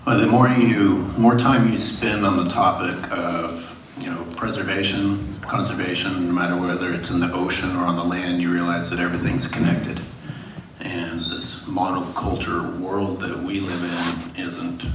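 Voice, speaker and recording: Deep-voiced male filmmaker in an echoey hall. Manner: reflective, with laughter between points. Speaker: documentary filmmaker and panelist. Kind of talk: festival panel discussion. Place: festival screening venue. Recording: echoey room, distant mic, background noise.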